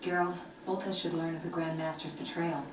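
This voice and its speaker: Lady doing a calm matter-of-fact sorceress, lowish voice, echoey far off with hiss. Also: a little fast, lightly acted. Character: composed female sorceress advisor. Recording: echoey distant game audio with noise.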